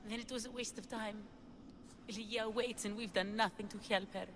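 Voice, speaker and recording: A woman's voice: fairly high pitch, steady tone, moderate pace, background noise, close to an everyday voice. A woman playing a devoted, anxious lover in a video game, earnest and urgent. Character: devoted, anxious woman searching for her lost love. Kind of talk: dramatic video game acting.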